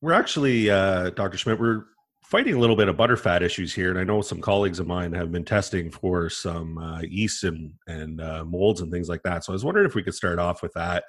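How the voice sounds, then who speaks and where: A man's voice: low-pitched, fairly quick, a bit bright, dry recording, studio quality. A man hosting a podcast in a casual interview, friendly and full of hesitant fillers. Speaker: podcast host.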